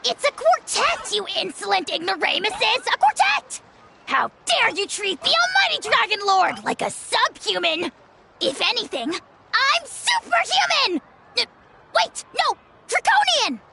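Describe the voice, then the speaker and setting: A woman voicing a small, boastful male dragon spirit who shouts insults and grand titles at everyone. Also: very high-pitched, big pitch swings, measured pace, creaky, heavy background noise, heavily acted. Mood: indignant.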